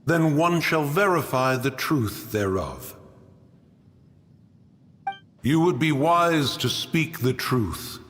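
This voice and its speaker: An actor playing a proud, stern and ancient mountain guardian in a video game, speaking in pompous, archaic, formal phrases. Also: male voice, mid-range voice, very animated, slow pace, long pauses, a bit bright, slight background noise. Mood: imperious, suspicious. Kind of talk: scripted video game voice acting. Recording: long cavernous reverb.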